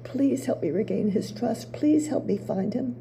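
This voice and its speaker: An older woman reading the part of Psyche, an innocent young mortal woman, in a stage reading of her play, her lines halting and eager with stumbling restarts. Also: a little high, animated, creaky, dark tone, a little hiss or hum. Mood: humble pleading. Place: small theater stage with audience. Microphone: table mic.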